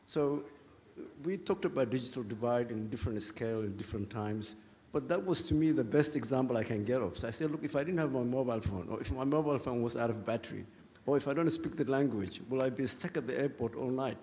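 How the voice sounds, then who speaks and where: A man from Somalia takes the floor mic to share a reflective, anecdotal comment. His voice sits at a middling pitch. The big hall gives it a long echo. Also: fast, a little mellow. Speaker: audience member. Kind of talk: audience comment at a conference. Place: large conference hall. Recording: reverberant hall.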